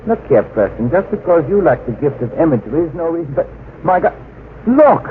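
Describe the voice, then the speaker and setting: An actor playing a dreamy, self-satisfied young secretary and would-be author in an old-time radio drama, airy and excitable. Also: male voice, fairly high pitch, brisk. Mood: alarmed. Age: young adult. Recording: tinny, hissy old radio recording.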